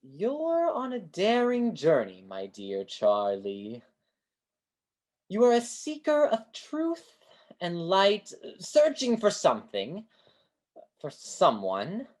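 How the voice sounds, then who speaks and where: A woman's voice: mid-range voice, wildly swooping pitch, slow pace, darkish tone, exaggerated voice. A woman playing a grandiose, kindly street fortune-teller with florid delivery in a staged play reading over a video call. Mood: tender, solemn. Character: Great Banzini, grandiose theatrical male street fortune-teller, kindly mystic.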